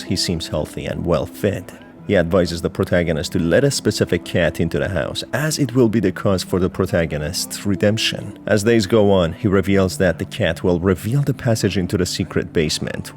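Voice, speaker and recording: A man narrating a scripted explainer on game lore in a measured, storytelling manner. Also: low voice, a little mellow. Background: background music.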